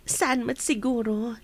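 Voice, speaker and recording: A woman's voice: fairly high pitch, no room sound, heavily acted. An actress with an Ilocano Filipino accent playing a sweet young woman being courted in a radio drama.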